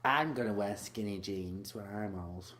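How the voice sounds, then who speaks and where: A young man co-hosting a comedy podcast, sweary and deadpan, teasing his friend. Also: lowish voice, moderate pace. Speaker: podcast co-host. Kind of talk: casual comedy podcast chat.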